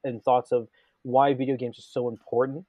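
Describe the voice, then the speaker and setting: A man's voice: mid-range voice, little pitch movement, moderate pace, dry recording, narrow, tinny audio. A man co-hosting a casual gaming podcast, talking enthusiastically and rambling with many restarts. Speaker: podcast co-host.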